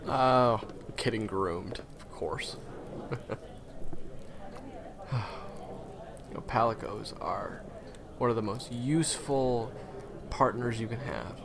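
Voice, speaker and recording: A man playing a gentle, humble ex-hunter turned guide in an audio roleplay, speaking hesitantly and softly with frequent pauses. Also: medium pitch, gravelly. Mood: friendly, wry. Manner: hesitant, soft-spoken, halting with pauses. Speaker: monster hunter's handler in a fan audio roleplay. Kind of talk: intimate conversational audio roleplay acting.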